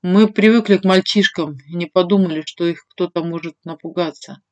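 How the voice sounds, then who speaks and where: A woman's voice: on the low side, brisk, no room sound, lo-fi sound, natural voice. Woman reading as an easygoing, matter-of-fact lord. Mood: explanatory.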